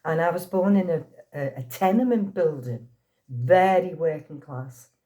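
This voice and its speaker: A woman's voice: lowish voice, dark tone. A woman in her sixties from Liverpool with a Liverpool accent, chatting in an interview and telling anecdotes with frequent laughs. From Liverpool, England. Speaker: actress being interviewed. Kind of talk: casual storytelling interview.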